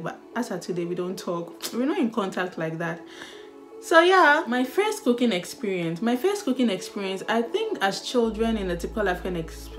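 A young woman with a Ghanaian accent, chatting casually and animatedly as a vlogger, with laughs and sighs. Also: mid-range voice. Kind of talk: casual chat.

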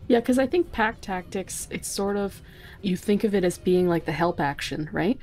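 A woman's voice: medium pitch, animated, moderate pace, a little mellow. A woman speaking as a podcast guest, explaining her thinking in casual discussion.

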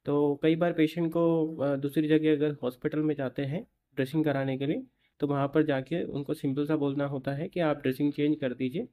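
A young man, a clinic doctor, steadily explaining a patient's post-surgery result to the camera with filler pauses in a clinic room. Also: on the high side, flat delivery, dark tone.

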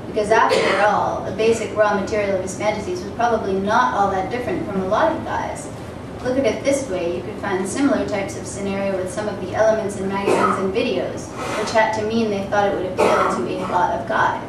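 A woman's voice: medium pitch, steady tone, brisk. A woman in her thirties giving a dramatic reading of satirical fiction on stage. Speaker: reader at a literary event. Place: stage of a small event room with an audience. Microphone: table microphone on a stand. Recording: very echoey hall, distant mic, noisy.

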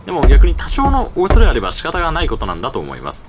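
A man's voice: on the high side, huge pitch swings, racing, a little hiss or hum. A young man vlogging his travels casually into a handheld camera, explaining costs and rules in detail, outdoors at night and then in a small internet cafe booth. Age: young adult. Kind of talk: casual travel vlog narration.

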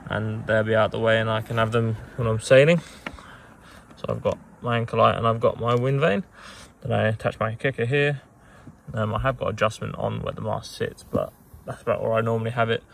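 Gravelly young man narrating boat work. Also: lowish voice, fairly quick, slight background noise. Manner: relaxed, rambling, with frequent ums and self-corrections. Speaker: sailing vlogger. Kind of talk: casual how-to narration while working. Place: on a small sailboat outdoors.

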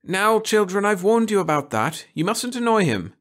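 A man's voice: high voice, very animated, moderate pace, a bit bright, pitched above the performer's own voice. A man voicing a strict, fussy, complacent woman schoolteacher in a storybook reading, scolding and primly authoritative. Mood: firm, prim. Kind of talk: storybook audiobook character voice.

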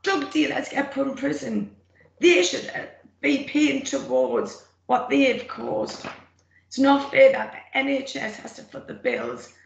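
A middle-aged woman with a rough, gravelly throat, giving an earnest, plain-spoken personal testimony from her notes on a video call. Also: a little high. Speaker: former smoker speaking at a roundtable. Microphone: computer mic on a video call. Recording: echoey room, faint noise.

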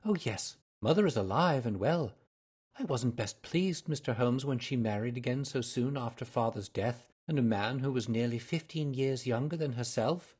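A man's voice: mid-range voice, normal speed, darkish tone, breathy edge, lightly acted. A man reading the part of a flustered, chatty young woman client who rambles eagerly in a raised voice. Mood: rambling. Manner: eager, rambling, breathless pleading. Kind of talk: audiobook character reading.

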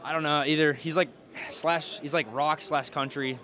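A teenage Southern football player giving short, easygoing answers into a handheld mic. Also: male voice, fairly high pitch, steady tone, normal speed, pushed and bright. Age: teens. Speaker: high school football player. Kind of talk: casual interview answers. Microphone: handheld mic held by interviewer.

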